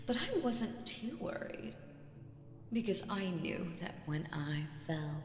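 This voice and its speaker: A woman playing a seductive, imperious demon queen in an audio roleplay, teasing and condescending, with drawn-out phrasing and breathy asides. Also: fairly low pitch, sing-song, a little hiss or hum. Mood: haughty. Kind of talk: slow roleplay audio drama monologue with whispers. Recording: echoey reverberant hall with music behind.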